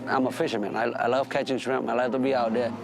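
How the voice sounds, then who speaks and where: A commercial fisherman, a man whose first language is Khmer, speaking in a halting, plainspoken way with many repeated words. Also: medium pitch, level tone, creaky, music in the background. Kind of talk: documentary interview.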